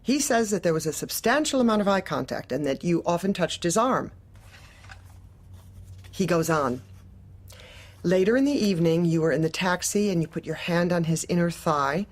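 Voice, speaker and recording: A low-pitched female office manager, officious and manipulative. She speaks in measured, formal phrases with condescending courtesy. It is dark comedy audio drama.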